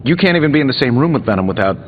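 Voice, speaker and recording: An actor voicing a witty, cocky armored superhero inventor in a video game, glib and sarcastic. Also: male voice, medium pitch, animated, racing, a little hiss or hum, close to an everyday voice. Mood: explaining, wry. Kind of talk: video game dialogue acting. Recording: slightly dull game audio under music.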